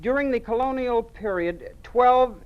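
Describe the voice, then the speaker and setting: A performer playing a nervous young male pupil reciting haltingly in an old-time radio drama. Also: mid-range voice, close to an everyday voice. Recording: muffled vintage radio recording.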